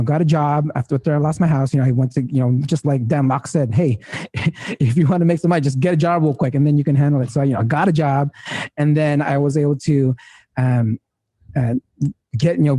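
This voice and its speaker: Guy in his 30s rambling thru an interview, lots of you knows, pitch a bit high, mellow tone. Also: no echo. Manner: rambling, heavy with fillers and restarts. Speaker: guest entrepreneur. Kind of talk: casual interview talk.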